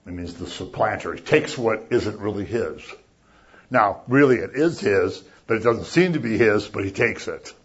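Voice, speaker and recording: Guy pastor preaching, chatty and lively, kinda low voice, muffled lo-fi church recording. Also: moderate pace. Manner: conversational, animated, acts out voices. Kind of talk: sermon.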